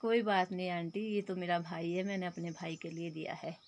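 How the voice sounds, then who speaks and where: A hoarse woman voicing a gentle young watermelon girl. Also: on the low side, steady tone, a little fast, natural voice. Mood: earnest. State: hoarse throat.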